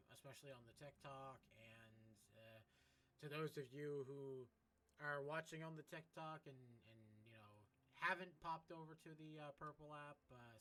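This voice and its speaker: A man's voice: a little high, sharp tone. A man chatting casually as he livestreams a game, commenting and addressing his viewers. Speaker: livestreamer, gamer.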